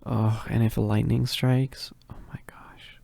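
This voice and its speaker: A man playing a shy, needy, gentle werewolf roommate in an intimate ASMR roleplay, hesitant and stammering. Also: mid-range voice, level tone, slow pace, vocal fry, no echo. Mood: anxious, confiding. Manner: hesitant, stammering, bashful with soft chuckles.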